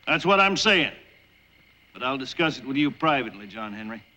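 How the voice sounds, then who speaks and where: A man's voice: mid-range voice, very animated, brisk, sharp tone, poor audio. An actor playing a brash, blunt railroad boss who declaims like a showman in a television folk-tale drama. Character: brash railroad boss showing off his new machine.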